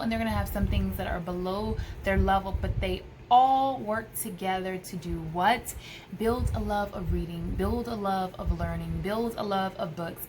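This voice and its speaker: A woman's voice: medium pitch, a little hiss or hum, rough recording. An American woman in her thirties, a homeschooling mother, gives casual tips to camera in an enthusiastic, emphatic way. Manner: enthusiastic, emphatic with repeated phrases. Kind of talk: casual vlog advice to camera. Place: indoors, small room by a window.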